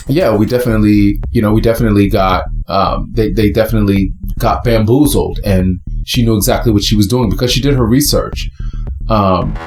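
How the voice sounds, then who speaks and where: A man's voice: fairly low pitch, darkish tone. A man from the United States giving casual, rambling commentary with many fillers and restarts. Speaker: host.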